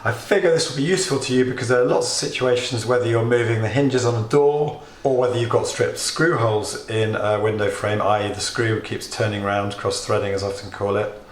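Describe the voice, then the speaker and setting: A British man hosting a DIY tutorial, a little hoarse as he is losing his voice, chatty and plain as he explains each step with small asides. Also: lowish voice, steady tone, fairly quick, hardly any pauses, echoey room. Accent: British English. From United Kingdom. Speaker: DIY video host. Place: home workshop or kitchen.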